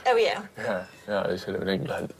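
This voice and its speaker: A young man with a Welsh accent giving short, halting replies in casual chat and admitting he struggles with small talk. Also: lowish voice, wildly swooping pitch, a little hiss or hum. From Wales. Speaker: dating show participant. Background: music.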